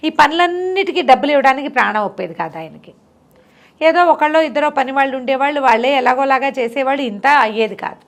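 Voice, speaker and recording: A woman's voice: sits high, animated, quick pace. A woman telling folk tales conversationally, in a chatty way, explaining with repeated lists of detail. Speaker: storyteller. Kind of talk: conversational bedtime folk storytelling.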